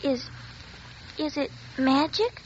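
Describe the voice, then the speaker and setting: A woman's voice: high-pitched, animated, takes it slowly. A woman playing a young schoolgirl with childlike, precise diction in 1940s radio drama style. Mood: timid, drowsy. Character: schoolgirl daughter, curious, turned primly haughty. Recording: muffled, noisy 1940s radio recording.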